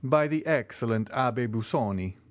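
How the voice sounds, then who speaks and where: A measured, composed count, polite and in control, with a lively lift in his phrasing. A man reads him in a storybook. The sound is dry and close. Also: medium pitch, no echo, pitched above the performer's own voice, natural voice. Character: cool, composed wealthy count.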